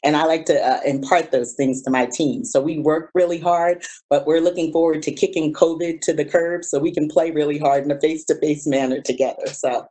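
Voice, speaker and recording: A woman's voice: sits low, steady tone, fairly quick, mellow. A woman from New Jersey, a college provost, speaking at a virtual town hall in an upbeat, enthusiastic, easygoing way. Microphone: video call.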